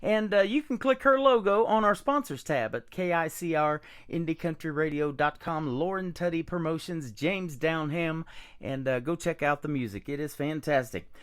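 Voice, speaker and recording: A man's voice: fairly high pitch, normal speed, smooth. A man hosting a radio show, chatting in an upbeat way with frequent fillers. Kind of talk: casual radio interview chat.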